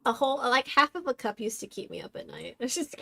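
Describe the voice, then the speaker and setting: A woman's voice: high-pitched, big pitch swings, fast, gravelly, bright, forceful tone, slight background noise. A woman from the Philippines chatting casually as a podcast co-host, often giggling mid-sentence. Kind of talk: casual chat.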